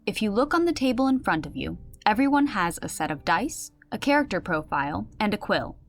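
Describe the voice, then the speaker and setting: An organized, patient storyteller, played by a woman, with a lively, mid-pitched voice. The figure explains things clearly and firmly, with quiet music underneath. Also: a little fast. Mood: organizing. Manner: clear explanatory, gently authoritative. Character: composed, organized female game storyteller, patient but firm.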